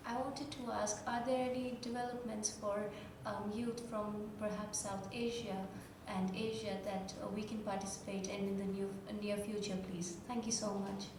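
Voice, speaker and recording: A woman's voice: medium pitch, flat delivery, normal speed. Student girl in her 20s asking a question, polite, noisy room. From India. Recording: echoey hall, distant mic, background noise.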